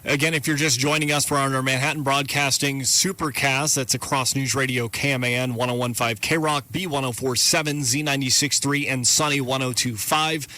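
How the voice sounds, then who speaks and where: A man with a bright, forceful voice of middling pitch hosts live radio storm coverage. He delivers warnings, lists of places and safety instructions in a matter-of-fact but urgent manner. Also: fairly even intonation, moderate pace, few pauses. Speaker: radio weather coverage host. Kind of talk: live severe weather warning broadcast.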